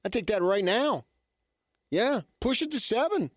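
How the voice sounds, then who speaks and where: A man's voice: high voice, lively intonation, measured pace, no echo, studio quality. A man hosting a sports radio show, talking casually in a rambling monologue and often answering his own questions. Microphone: studio radio microphone.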